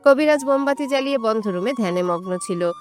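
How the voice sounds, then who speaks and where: A woman's voice: on the high side, expressive, fast talker, faint music behind. A woman reading a scripted romantic story in a dramatic narrating style.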